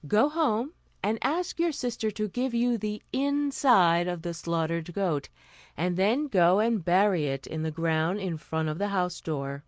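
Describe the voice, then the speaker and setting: A woman voicing a kindly, wise magical helper in a storybook, calm and measured. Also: mid-range voice, no room sound, clean studio sound, close to an everyday voice. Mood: calm advice. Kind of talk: storybook character voice.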